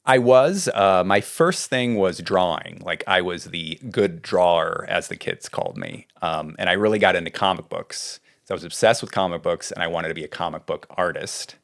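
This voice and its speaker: A middle-aged man from California, a writing coach being interviewed, talking in a relaxed, rambling way. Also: deep voice, normal speed, vocal fry, hardly any pauses. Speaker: guest, script doctor and writing coach. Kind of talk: casual interview talk.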